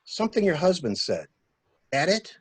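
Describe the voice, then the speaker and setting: A man acting a coolly insistent stranger who questions a suspect in a halting, probing way, in a dramatic mystery reading. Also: fairly high pitch, very animated, slightly rough, no room sound.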